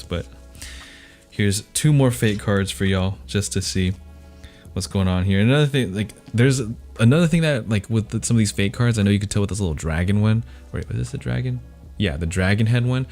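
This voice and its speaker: A man's voice: fairly low pitch, fairly quick, darkish tone, soft background music. A young American man chatting casually and telling stories to viewers in a laid-back, slangy, self-deprecating way. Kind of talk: casual rambling chat, storytelling.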